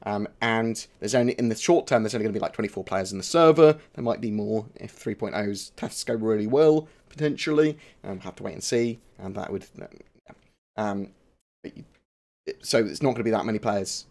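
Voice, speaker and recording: A man's voice: lowish voice, a little fast, a little mellow. A young man chatting casually on a live stream, answering viewer questions with lots of fillers, restarts and swearing.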